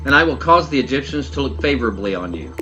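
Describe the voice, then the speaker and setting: A man's voice: mid-range voice, sing-song, quick pace, sharp tone, dull audio. Man voicing solemn commanding God over music. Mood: solemn, declarative. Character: commanding male God speaking from a burning bush. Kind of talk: scripted scripture reading. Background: prominent background music.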